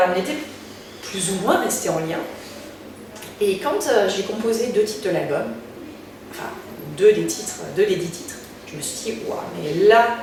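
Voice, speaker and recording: French-speaking woman with a low voice in a very echoey room. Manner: halting, many fillers and restarts. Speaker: singer being interviewed. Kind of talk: casual interview answers. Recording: very echoey room, distant mic, noticeable background noise.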